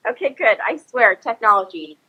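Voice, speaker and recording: A woman's voice: a little high, lively intonation, moderate pace, sharp tone, husky, low-quality recording. A woman with a small cold, a bit sniffly, hosting a casual live video chat with lots of fillers and restarts. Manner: chatty, many fillers and restarts. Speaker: host. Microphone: phone or webcam video call.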